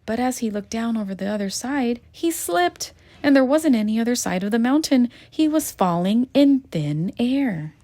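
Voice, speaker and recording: A woman reading a children's picture book aloud in a gentle, expressive storytelling manner. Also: on the high side, normal speed, few pauses. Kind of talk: children's read-aloud narration.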